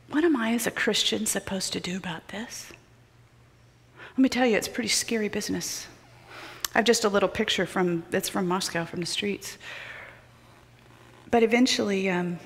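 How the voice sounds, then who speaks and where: A middle-aged woman preaches from a pulpit, her voice carrying a long reverb through a large church sanctuary. She tells personal stories, rising loud and urgent and then dropping to a hushed, almost whispered intensity. Her pitch sits in a middle register.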